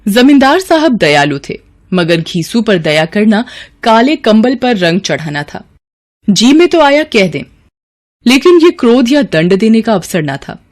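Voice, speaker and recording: A woman's voice: on the high side, very animated, unhurried. A woman reading scripted literary story narration as the narrator.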